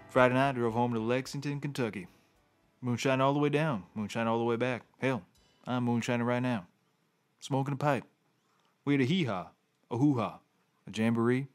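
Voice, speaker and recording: Boastful backwoods tracker, male actor, laid-back, short bursts, mid-pitched, comedy podcast with faint music. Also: moderate pace, pauses often, lightly acted. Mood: boastful, relaxed. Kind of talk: scripted comedy podcast acting.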